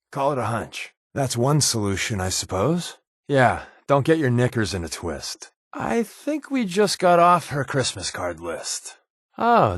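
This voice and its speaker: An actor voicing a sly, sardonic undercover agent as a video game companion, glib and conversational with dry sarcasm. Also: male voice, medium pitch, no room sound. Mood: wry, bantering.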